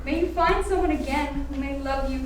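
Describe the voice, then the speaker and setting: A woman's voice: high-pitched, brisk, lightly acted. A woman playing a tender, heartbroken young sweetheart in a radio play, speaking earnestly. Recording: distant, very echoey room, faint noise.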